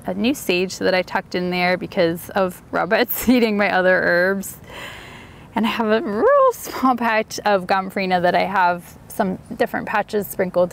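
A woman's voice: medium pitch, vocal fry, a little hiss or hum. A woman giving a chatty, enthusiastic walk-and-talk tour of her home garden outdoors. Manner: chatty, enthusiastic, light laughs. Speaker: garden vlogger.

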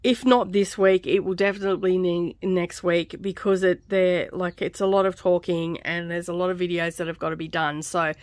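A middle-aged woman hosting a craft vlog in casual chat, with a slightly croaky, scratchy voice, dry and tired after illness. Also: lowish voice, fairly even intonation, normal speed, few pauses, dry recording. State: recovering from covid, tired, dry throat. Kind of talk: casual stitch-along chat. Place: small indoor craft room.

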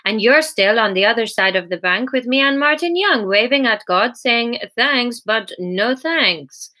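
A relentless she-devil from a comic storybook, acted out by a woman narrator. She is belligerent and mocking, with a high, sharp-edged voice that argues in exasperated taunts. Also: expressive, unhurried. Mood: scornful, unsentimental. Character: relentless scornful female devil, mocking and persuasive.

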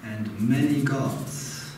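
A man in his thirties presents to a seminar group, repeating each answer back at an unhurried pace. His voice is picked up by a distant camera in a very echoey meeting room. Steady noise sits under everything. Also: mid-range voice. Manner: repeats students' answers slowly while writing on a flipchart. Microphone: far camera. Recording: echoey room from far off, noisy.

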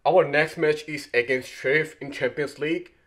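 A man's voice: a little high, normal speed, a bit bright. A man in his thirties hosting a football fan channel, talking straight to the camera from a room at home, earnest and gesturing as he talks. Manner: earnest, gestures while talking, frequent fillers and restarts. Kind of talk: post-match reaction vlog. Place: indoor room by a window with blinds.

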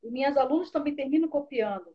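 A woman from Brazil with a high voice leads an online interview, eager and admiring as she reads out viewers' questions. Her audio comes through dull and lo-fi. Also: animated, fast.

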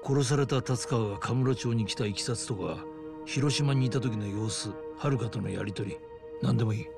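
A male actor playing a seasoned older detective in a video game, gruff, informal and pragmatic, with a gravelly voice. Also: mid-range voice, moderate pace, over music, lightly acted. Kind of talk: dramatic video game acting.

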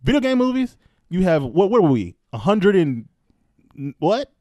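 Very animated, high-pitched man bantering. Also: moderate pace, no room sound, studio quality. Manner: blunt, profane, teasing. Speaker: podcast co-host. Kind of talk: casual group banter. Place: small room around a table. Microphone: podcast table microphone.

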